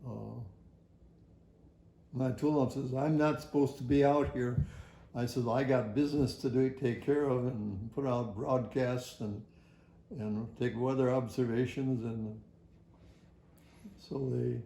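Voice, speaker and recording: An older man tells a personal story from his working life in a halting, rambling, folksy way. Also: mid-range voice, steady tone, gravelly, warm tone, reverberant. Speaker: retired air traffic facility worker. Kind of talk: personal oral history storytelling.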